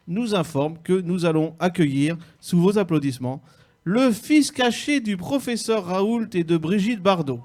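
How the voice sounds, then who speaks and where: A man hosting a mock radio show at a holiday village, in a pompous announcer tone with frequent hesitations. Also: high voice. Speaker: host. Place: holiday village hall with audience.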